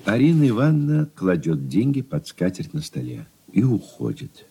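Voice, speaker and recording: Very deep male radio-play narrator, noisy recording. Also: wildly swooping pitch, normal speed, gravelly. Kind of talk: scripted radio-play narration of stage directions.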